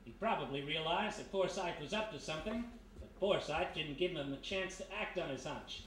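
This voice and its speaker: Picture a brash private eye from a vintage radio mystery played by a man, slangy and sure of himself as he lays out the case. His voice sits high for a man, and the live stage recording is roomy with a faint noise underneath. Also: fairly quick, a bit bright, lightly acted. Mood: confident, sardonic. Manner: wisecracking, slangy, explaining confidently. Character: brash American private detective, reformed thief, laying out a case. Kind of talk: 1930s-style detective radio play acting before a live audience. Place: live performance in a historic house.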